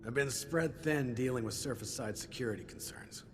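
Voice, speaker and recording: A man's voice: medium pitch, a little fast, background noise, natural voice. An actor playing a stern male security chief who hands out chores in a clipped, businesslike way. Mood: curt.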